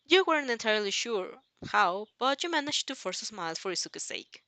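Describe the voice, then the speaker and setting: A woman reading a dramatic fan fiction story aloud as narrator, halting now and then over the text. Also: a little high, sing-song, bright, forceful tone, dry recording. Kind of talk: dramatic audio story narration in second person.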